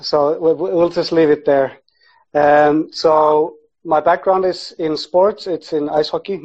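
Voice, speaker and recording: A man from Finland speaks as a guest in a casual podcast interview. His voice sits a little high, and he feels his way through answers with hesitant pauses. The audio is somewhat dull and lo-fi. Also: a little mellow. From born in Sweden, grew up in Finland, half Icelandic. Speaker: podcast guest, sports psychology researcher.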